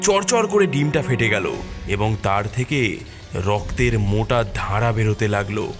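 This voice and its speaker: A man's voice: fairly low pitch, expressive, measured pace. Male horror-cartoon storyteller over prominent music. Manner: suspenseful, scripted storytelling. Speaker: narrator. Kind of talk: dramatic cartoon story narration. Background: prominent background music.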